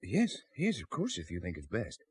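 An actor playing an upright, concerned friend in a 1970s British radio drama. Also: male voice, low-pitched, huge pitch swings, moderate pace, a little hiss or hum.

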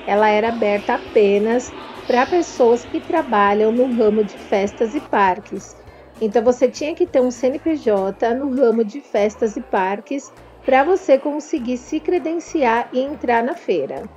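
A woman's voice: a little high, animated, moderate pace, a little mellow, muffled recording, faint music behind. A woman with a Brazilian Portuguese accent, narrating a vlog about a trade fair and talking straight to her viewers in a chatty way. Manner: chatty, addresses viewers directly. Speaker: vlogger and confectioner.

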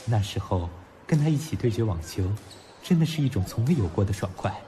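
A man's voice: on the low side, expressive, a little fast, mellow, natural voice. An actor playing a young male student in an audio drama, narrating reflectively as well as speaking in dialogue. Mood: wistful narration. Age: young adult. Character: young male student, nostalgic, proud, teasing. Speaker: lead actor and first-person narrator. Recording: music behind the voice.

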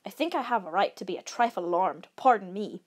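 A woman's voice: mid-range voice, animated, fast talker, husky. A woman voicing a frightened male camper in a storybook read-aloud, anxious and exasperated, with urgent delivery. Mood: indignant panic. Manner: urgent, exasperated, often whispered. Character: frightened male camper, anxious, indignant, whispering then near hysterical.

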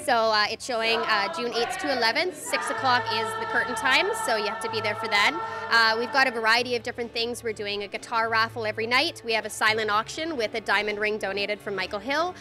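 A woman in her thirties with a slightly high voice, calmly listing practical details as a drama teacher and director. She pauses now and then with "uh", speaking into a handheld mic in a theatre rehearsal room. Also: over music. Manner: practical, informative, with "uh" fillers. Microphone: handheld interview mic.

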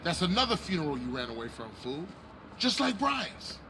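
An actor playing a resentful, bossy older brother in a street gang, scolding and profane, in a video game. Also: male voice, a little high, very animated, moderate pace, noisy background. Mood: angry, scolding. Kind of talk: video game cutscene acting.

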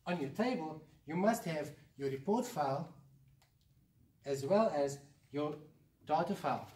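A man's voice: on the high side, lively intonation, measured pace, warm tone. A young man explaining rules in a scripted way, recorded in a roomy space away from the microphone. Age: young adult. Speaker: science fair committee presenter. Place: classroom or lab with display boards, indoors. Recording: echoey room, distant camera microphone.

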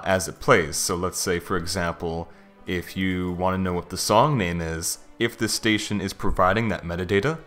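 A man giving a casual, conversational software review, informal and thinking aloud as he talks through what he shows. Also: low voice. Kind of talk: casual screen-recorded software walkthrough. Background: background music.

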